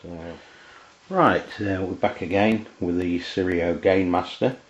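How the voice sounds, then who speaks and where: A man with an English accent giving an unscripted, hesitant product demonstration in plain words, recorded on a dull lo-fi camera microphone. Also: low-pitched. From England. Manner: hesitant, halting, plain-spoken. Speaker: amateur radio reviewer.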